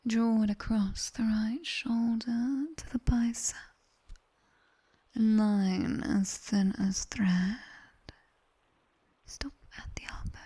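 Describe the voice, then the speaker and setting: A British woman playing a sharp-tongued, teasing nightmare demon tutor in an ASMR roleplay, whispering breathily and intimately close to a binaural mic. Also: fairly high pitch, steady tone, breathy edge. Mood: soothing, instructive. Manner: slow, intimate, teasing, commanding. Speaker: ASMR performer. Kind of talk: whispered ASMR roleplay, guided body scan. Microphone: close binaural ear microphone.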